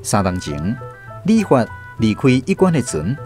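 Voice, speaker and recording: A man's voice: fairly low pitch, wildly swooping pitch, measured pace. A man with a Taiwanese accent reading scripted storytelling narration for a radio drama. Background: faint music.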